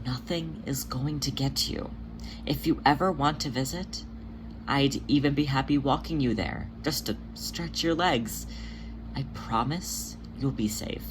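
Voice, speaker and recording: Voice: medium pitch, a little slow, background noise, close to an everyday voice. A narrator voicing a motherly female cat who is a healer, speaking in a patient, soothing and reassuring way.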